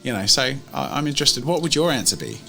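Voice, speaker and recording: A man's voice: medium pitch, sing-song, fast, gravelly, sharp tone, soft background music. A man hosting a casual chat, asking questions and wrapping up a session. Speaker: show host.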